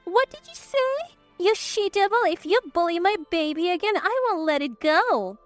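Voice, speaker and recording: A woman's voice: very high voice, animated, normal speed, dry recording, faint music behind, voice pushed up. A woman voicing a haughty, scolding older mother-in-law in a story, imperious and reproachful. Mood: furious.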